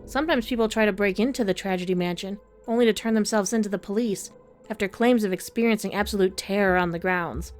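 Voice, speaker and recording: Woman playing an ominous front desk lady, medium pitch. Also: fast, soft background music, close to an everyday voice. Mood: ominous, knowing. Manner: storytelling, matter-of-fact about grisly tales. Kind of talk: cheesy horror audio drama acting.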